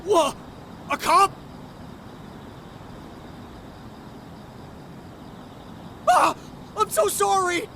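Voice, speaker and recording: An actor playing an anxious, cowardly underling in a video game cutscene, pleading and panicky. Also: male voice, very high-pitched, big pitch swings, slow, vocal fry, pushed and bright, slight background noise, exaggerated voice. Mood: panicked. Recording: music behind the voice.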